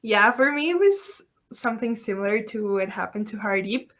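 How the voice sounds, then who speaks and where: A woman's voice: medium pitch, lively intonation, normal speed, fairly bright tone. A young woman from Ecuador talking in a friendly, straightforward way as a panel guest on a video call. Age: young adult. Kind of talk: casual chat.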